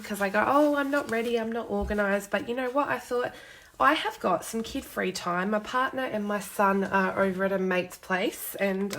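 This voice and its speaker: Brief: young woman, hoarse and snuffly from a cold, chatty craft livestream host at her desk, faint background hiss. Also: medium pitch, a bit bright. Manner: chatty, greets viewers, lots of ums. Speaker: card-making demonstrator. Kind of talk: casual live craft demo.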